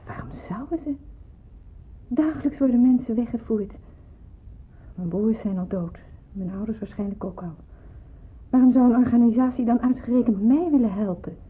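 A woman's voice: on the high side, moderate pace, long pauses, lightly acted. Woman acting a hesitant young nurse, thin old recording. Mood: hesitant, resigned. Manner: hesitant, earnest. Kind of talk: radio drama acting. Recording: muffled, narrow-band old radio play, hissy.

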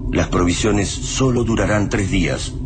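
A low-voiced Argentine man acting as the grave narrator of an apocalyptic radio drama. He reads in measured, literary phrases, with clear ambient noise behind him. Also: lightly acted. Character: grave omniscient male narrator of an apocalyptic tale. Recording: clearly noisy background ambience.

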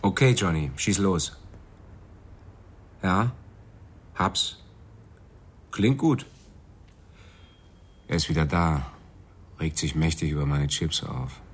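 An actor playing an easygoing but deferential police sergeant in a German radio crime drama, talking in a casual, matey way. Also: male voice, rumbling low, takes it slowly, pauses often, husky, exaggerated voice. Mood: casual, busy.

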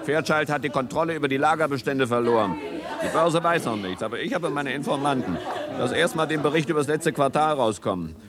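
A man's voice: on the high side, expressive, a little fast, lightly acted. A man acting a cocksure, patronizing stock-market speculator in a 1970s radio play, boastful and slangy. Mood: scornful, boastful. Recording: 1970s studio radio play, crowd chatter behind.

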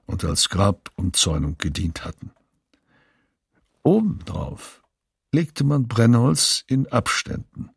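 A grim male witness gives factual testimony in a radio drama. The voice is low and extremely husky, and he speaks very slowly. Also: no echo, clean studio sound, heavily acted. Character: male witness grimly describing camp atrocities.

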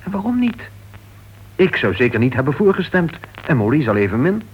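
An actor playing an earnest, lovelorn club board member in a Dutch radio play, asking insistent, probing questions. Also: male voice, fairly high pitch, sing-song, fairly quick, a little hiss or hum. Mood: insistent, puzzled. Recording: dull, muffled old radio recording.

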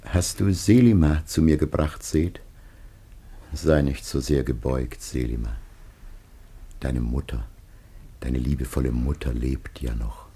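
Very deep, gravelly dying patriarch. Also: male voice, warm tone, full character voice. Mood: gentle. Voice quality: gravelly, husky, breathy. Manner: slow, solemn declamation with whispered passages. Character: dying aged patriarch, solemn, tender, blessing his children. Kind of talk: solemn classical German radio play acting.

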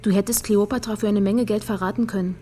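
A woman's voice: mid-range voice, fairly even intonation, fast talker, mellow, close to an everyday voice. Crime radio play: actress as unyielding female detective, mid-range voice, terse, businesslike delivery. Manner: terse, matter-of-fact. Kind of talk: naturalistic radio crime drama acting.

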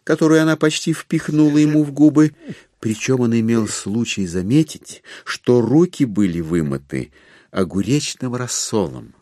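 A man narrating a classic literary audio drama in an expressive, scripted storytelling style. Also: medium pitch, moderate pace, no room sound, clean studio sound.

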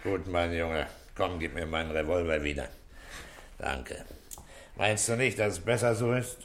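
An actor playing a middle-aged police inspector in a German radio drama, speaking in a calm, coaxing, fatherly and shrewd manner. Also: deep voice, measured pace. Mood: kindly.

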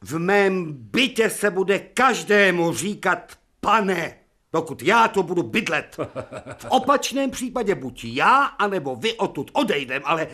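A proud old professor who lords over his household, indignant and exasperated, in a radio drama. He clips his orders and rises sharply when provoked, with a bright, lively voice. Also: high-pitched, heavily acted. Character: irritable elderly male professor, proud and commanding.

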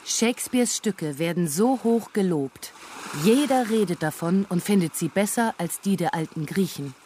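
A woman narrating a literary radio drama in a deliberate, observational way. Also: fairly low pitch, lively intonation, warm tone, slight background noise.

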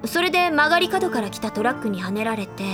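A woman playing a confident, teasing high-school senior girl who recounts gossip in a feminine storytelling way in an audio drama. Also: big pitch swings, fast, over music. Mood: knowing, gossipy. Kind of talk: anime audio drama dialogue.

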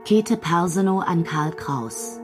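Slow, low-key woman announcer over music. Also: expressive. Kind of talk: scripted radio drama announcement.

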